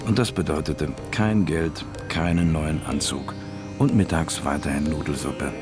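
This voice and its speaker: An actor playing a world-weary, broke private detective, narrating a noir radio drama in a laconic, wry way. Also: male voice, extremely low pitch, measured pace, music in the background. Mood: reflective.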